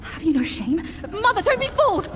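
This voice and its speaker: Super high pitched young demon princess, fiery, pleading, breathless, echoey hall w music, video game drama. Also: female voice, sing-song, brisk. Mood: outraged. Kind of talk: dramatic fantasy game acting. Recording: reverberant hall with music behind.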